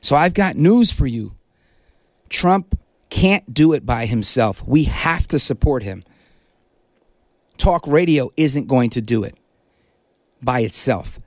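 A middle-aged man from New hosting a political talk radio show, delivering an emphatic, punchy monologue that hammers key words slowly. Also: medium pitch, animated, darkish tone, no echo, clean studio sound. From Brooklyn, New York, United States. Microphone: studio microphone.

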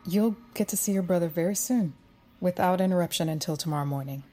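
An actress in an audio drama playing a cool, controlled special agent who speaks in a measured, authoritative way. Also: female voice, fairly low pitch, lightly acted. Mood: cool, businesslike.

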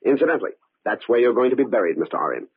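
Raspy, gloating murderous schemer. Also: male voice, medium pitch, sing-song, racing, phone-quality sound. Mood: smug, menacing. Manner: gloating, coolly menacing. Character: cold, scheming, smug male secretary turned murderer. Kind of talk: old-time radio acting. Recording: band-limited old radio recording.

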